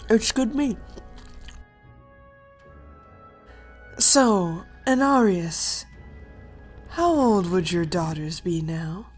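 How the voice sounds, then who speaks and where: A woman playing a smug, calculating dragon queen in an animated fantasy, speaking in a theatrical, mock-polite way. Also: fairly low pitch, slow pace, faint music behind. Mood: mock-friendly. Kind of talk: animated fantasy drama acting.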